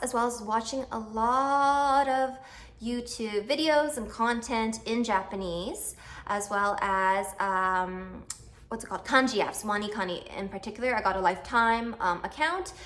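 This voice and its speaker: A woman's voice: mid-range voice, a bit bright. Chatty young mother vlogging. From Canada. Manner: chatty, self-deprecating, many fillers. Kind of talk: casual chat to camera.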